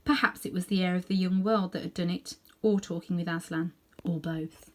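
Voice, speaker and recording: A woman reading a children's book aloud in an animated, dramatic way, sometimes softening toward hushed tones. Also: on the low side, normal speed. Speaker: storybook reader. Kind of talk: expressive read-aloud narration for children.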